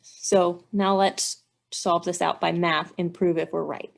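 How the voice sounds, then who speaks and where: A woman's voice: lowish voice, flat delivery, a little slow, creaky. A woman engineering instructor giving a methodical, step-by-step walkthrough, thinking aloud as she works through math.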